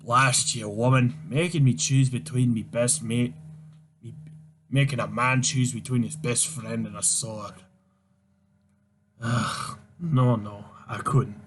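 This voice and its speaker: A man reading a comic aloud, voicing a gruff Scottish demolitions man with a low burr. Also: steady tone, slow, background noise, natural voice.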